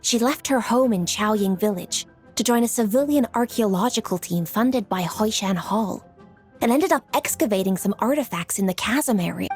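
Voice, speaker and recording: An actress playing a poised, businesslike young official in a video game, articulate, formal and composed. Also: female voice, medium pitch, breathy edge. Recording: music under the voice.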